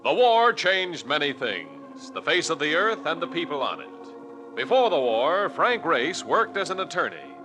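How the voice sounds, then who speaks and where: Dramatic radio announcer man over loud music. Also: a little high, wildly swooping pitch, unhurried, low-quality recording. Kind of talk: dramatic 1940s radio narration.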